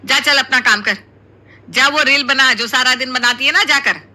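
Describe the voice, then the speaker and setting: A woman's voice: sits high, moderate pace, bright, forceful tone, pitched above the performer's own voice. A woman voicing a middle-aged Indian mother in a cartoon story, scolding and placating with exclamations. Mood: exasperated. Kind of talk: Hindi cartoon story voice acting.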